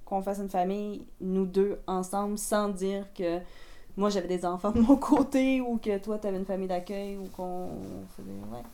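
A woman with a Québécois accent answers questions in a relaxed, halting way, often restarting her sentences. Her voice is warm and mellow. She is eight months pregnant. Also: medium pitch. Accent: Québécois French. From Quebec. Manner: hesitant, many fillers and restarts. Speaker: interviewee. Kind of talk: casual interview chat.

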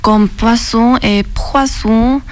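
A woman from China, a French student, speaking hesitantly with many pauses. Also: fairly high pitch, steady tone.

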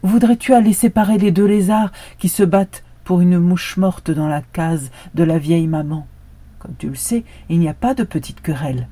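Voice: medium pitch, moderate pace, dark tone, close to an everyday voice. A storyteller playing an anxious, pleading guard dog. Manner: insistent, pleading.

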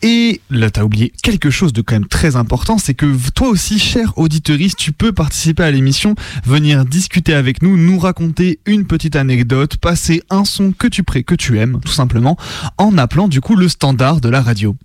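A man's voice: on the high side, animated, normal speed, a little mellow, no echo. A man co-hosting a late-night radio show from a studio, chatting casually and often rephrasing as he goes. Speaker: radio co-host.

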